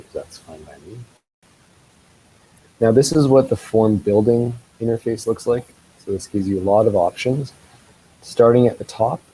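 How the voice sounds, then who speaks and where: Guy with a stuffy head cold explaining stuff on a screencast, mellow low voice, bit of hiss. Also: low-quality recording. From Canada. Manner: relaxed, explanatory, with ums and uhs. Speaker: school tech trainer. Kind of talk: screen-share software tutorial.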